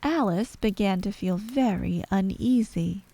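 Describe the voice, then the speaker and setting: Female bedtime storyteller, ASMR-style narration, mid-pitched, very dry sound. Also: measured pace, mellow, husky, no echo.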